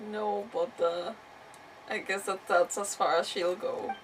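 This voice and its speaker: A woman's voice: medium pitch, takes it slowly, distant mic, a little hiss or hum. A woman game streamer giving casual live commentary, thinking aloud with exclamations and mild swearing.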